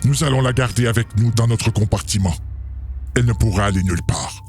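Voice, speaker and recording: A man's voice: on the low side, brisk, darkish tone, a little hiss or hum, lightly acted. A man playing an exasperated father in an amateur audio drama. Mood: placating. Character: exasperated, scolding father of a teenage girl.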